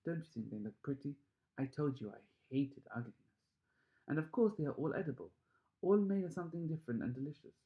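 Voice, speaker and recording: A man voicing an excitable, proud, fussy chocolate factory owner in a storybook reading, with gushing exclamations. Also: fairly high pitch, fast, creaky, highs cut off.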